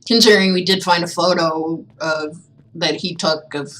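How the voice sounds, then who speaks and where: A woman's voice: lowish voice, fairly even intonation, normal speed, a bit bright, rough recording. A woman streaming a game with casual, teasing, irreverent commentary, chatting as she plays.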